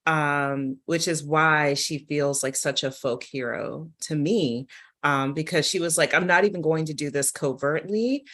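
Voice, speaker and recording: A woman speaking conversationally on a literary panel, thinking aloud with animated asides. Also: low voice, a little slow.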